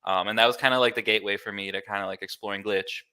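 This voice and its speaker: A man's voice: fairly low pitch, steady tone, racing, sharp tone, clear, resonant tone. An American man in his thirties, from Miami, chatting informally as an interview guest and explaining things in an easygoing way. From Miami, United States. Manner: easygoing, informal, filler-heavy with "like" and "kinda". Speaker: interview guest, glitch artist. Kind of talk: casual explanatory chat.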